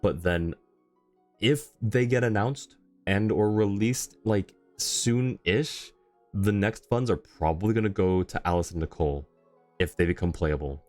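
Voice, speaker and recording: A man's voice: lowish voice, measured pace, a little mellow, no room sound, faint music behind. A man livestreaming a game, chatting casually and thinking aloud in an easygoing way.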